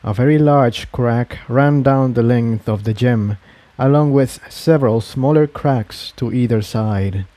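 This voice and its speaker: Male audiobook narrator for fantasy adventure fiction, medium pitch, very dry close recording. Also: measured pace, mellow, no echo. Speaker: narrator reading fan fiction. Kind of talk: audiobook-style scripted narration.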